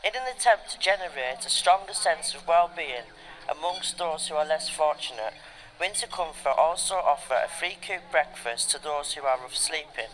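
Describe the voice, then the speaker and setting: A man's voice: a little high, a bit bright, rough recording. A man reading a scripted documentary narration. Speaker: narrator and interviewer.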